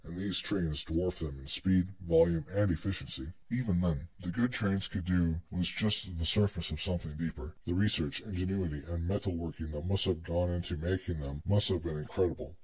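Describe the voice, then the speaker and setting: Low raspy guy narrating a story from a script. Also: moderate pace, hardly any pauses, a little mellow, poor audio.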